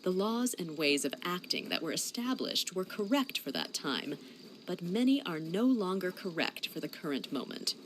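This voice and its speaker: A woman's voice: lowish voice, sing-song, moderate pace, a little hiss or hum, low-quality recording. A woman playing a grave, pragmatic senior inquisitor in a fantasy game cutscene. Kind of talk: scripted video game cutscene acting.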